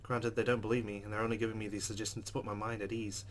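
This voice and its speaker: A man's voice: lowish voice, level tone, racing, slight background noise. A man hosting a let's play, reading game narration aloud and adding casual commentary.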